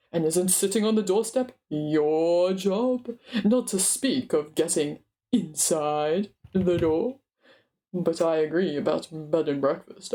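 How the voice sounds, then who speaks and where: A woman voicing a gruff, demanding male dwarf leader with a slow, pointed delivery in a dramatic book reading. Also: fairly low pitch, warm tone, voice pushed down.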